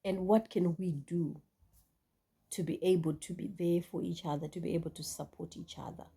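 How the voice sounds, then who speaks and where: A woman's voice: lowish voice, steady tone, moderate pace, vocal fry, dark tone, slightly rough. A Zimbabwean woman vlogger speaking reflectively to her viewers, with many fillers and restarts. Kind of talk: casual talk to camera.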